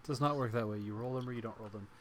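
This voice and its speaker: A man runs a tabletop role-playing game as game master. His voice sits at an average pitch, and he moves between narrating and table talk. There is clear background noise behind him. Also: rapid-fire. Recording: clearly noisy room background.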